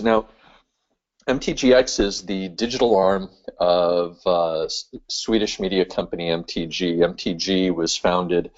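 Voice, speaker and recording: A man in his fifties talks through his slides in a very low voice, pausing on fillers and restarting his sentences. The webinar audio sounds dull and lo-fi, as if taken from a computer microphone. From San Francisco, California. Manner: halting, many fillers and restarts. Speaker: webinar speaker, games executive. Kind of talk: casual presentation with slides.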